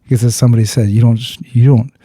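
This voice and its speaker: A man's voice: mid-range voice, little pitch movement, quick pace, dark tone, husky, no room sound, clean studio sound. A podcast host talking through a personal story with his guest. He speaks earnestly, searches for words, restarts often, and swears bluntly. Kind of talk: candid storytelling in conversation.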